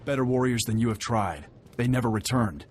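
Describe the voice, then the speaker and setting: An actor playing a proud, confrontational clan warrior in a video game, brusque and scornful toward outsiders. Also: male voice, medium pitch, a little fast, a little hiss or hum, close to an everyday voice. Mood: grim, challenging. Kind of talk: video game cutscene acting.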